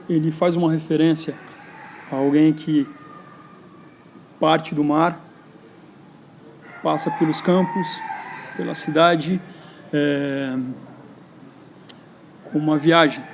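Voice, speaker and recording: A man from São Paulo with a São Paulo accent, speaking as a poet interviewed in a park in a reflective, halting way full of hesitations. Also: on the high side, a little mellow, slight background noise. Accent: São Paulo Brazilian Portuguese. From São Paulo, Brazil. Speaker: poet and writer, interview guest. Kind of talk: casual interview conversation. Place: outdoors in a park.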